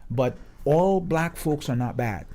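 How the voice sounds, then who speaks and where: A man's voice: fairly high pitch, lively intonation, slow, warm tone. A man with a Guyanese accent talking conversationally in a radio discussion, emphatic and repeating phrases to drive points home.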